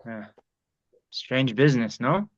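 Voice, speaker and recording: A man playing a wary, skeptical captive who answers in halting, terse phrases in a script read. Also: medium pitch, animated, muffled recording. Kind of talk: dramatic script table read.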